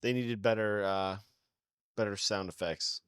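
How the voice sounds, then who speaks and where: A man's voice: lowish voice, fairly even intonation, unhurried, a bit bright, clear, resonant tone, no room sound, clean studio sound. A man on a podcast panel, chatting casually. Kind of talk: casual chat.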